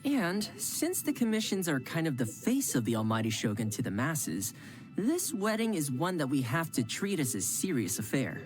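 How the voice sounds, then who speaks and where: A man's voice: fairly high pitch, wildly swooping pitch, normal speed. A man voicing a loyal, easygoing retainer of a noble house who speaks in a friendly, dutiful way. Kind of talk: game voice acting. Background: game music.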